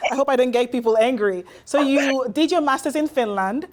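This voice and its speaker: A woman hosts an interview and answers her guest with admiration. Her voice sits a little higher than most. She sounds encouraging as she asks each question. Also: a little fast. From Nigeria. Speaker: interview host. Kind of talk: interview conversation.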